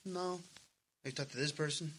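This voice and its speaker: A man's voice: fairly high pitch, brisk, fairly bright tone, slight background noise. A man in his thirties chatting casually as a podcast co-host, earnest and slangy, swearing freely. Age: 30s. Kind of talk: casual personal chat.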